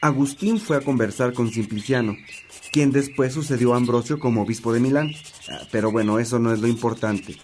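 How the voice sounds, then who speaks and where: A man's voice: medium pitch, fairly quick, a little mellow, slight background noise, lightly acted. A man acting a genial priest and old companion who likes reminiscing, in a religious radio drama. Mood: instructive, genial.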